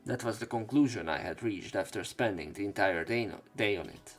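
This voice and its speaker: A man's voice: on the low side, fairly quick, breathy edge. A man reading a visual novel aloud as a let's play narrator, mixing in casual, crude joking asides. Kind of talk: reading game text aloud with commentary. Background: faint game music.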